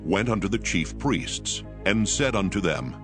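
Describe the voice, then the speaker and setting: A man with a very low voice narrates scripture from a script. Prominent music runs behind his reading. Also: animated, a little slow.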